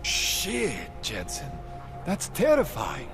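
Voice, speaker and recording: Game role: slick, jittery male underground tech. Swooping, animated pitch, wheedling, music behind. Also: slow, gravelly, full character voice. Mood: uneasy. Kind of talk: video game cutscene acting.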